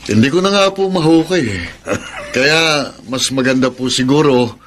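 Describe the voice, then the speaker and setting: A man's voice: mid-range voice, moderate pace, slight background noise, natural voice. An actor playing an earnest, lovelorn young man hiding behind a pretence in a Filipino radio melodrama. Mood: bitter, drowning sorrows. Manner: earnest, dramatic delivery.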